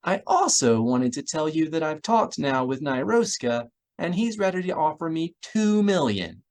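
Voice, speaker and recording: A man voicing a brisk, self-assured woman in an audiobook, cheerfully commanding and taunting. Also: on the high side, very animated, darkish tone, voice pushed up.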